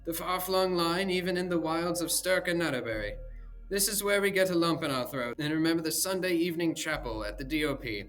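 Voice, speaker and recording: A man's voice: on the high side, sing-song, normal speed, a bit bright, faint music behind, voice pushed up. A man voicing a slender, younger man with a sarcastic, mocking drawl.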